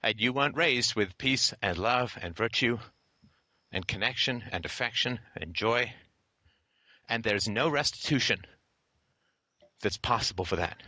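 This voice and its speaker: Male call-in host, blunt and lecturing, bright forceful voice, dry close mic. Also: medium pitch, animated, measured pace, long pauses, no room sound. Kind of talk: blunt philosophical call-in talk.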